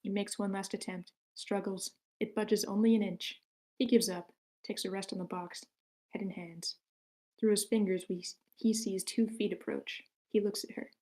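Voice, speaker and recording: A woman's voice: mid-range voice, fairly even intonation, normal speed, warm tone. A woman reading stage directions as the narrator of a screenplay table read.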